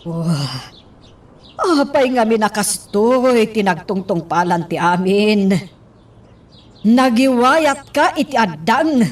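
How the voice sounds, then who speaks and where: A woman's voice: mid-range voice, animated, takes it slowly. A woman acting a fretful, complaining middle-aged mother in an Ilocano radio drama, with a whiny, exasperated delivery. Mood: irritated. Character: fretful, complaining mother in hiding, used to comfort. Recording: distant microphone with faint background noise.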